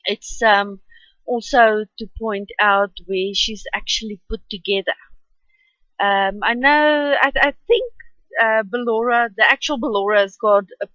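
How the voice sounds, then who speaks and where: A woman with an Afrikaans accent talks through her drawing in a slow, unhurried, rambling way. Her voice sits a little high. The home recording sounds dull, lo-fi and dry. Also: steady tone, a bit bright, no echo. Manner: chatty and rambling, with many ums. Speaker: artist narrating her drawing. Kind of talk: casual voice-over for a speed drawing.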